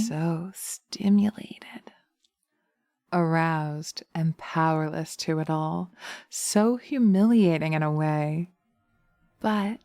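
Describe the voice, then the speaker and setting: A woman playing a seductive, vengeful witch in slow hypnotic audio roleplay, breathy and often whispering, teasing and commanding, close to the mic. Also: mid-range voice, dark tone, dry recording. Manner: sultry, drawn-out, teasing, commanding. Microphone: close microphone, dry binaural recording.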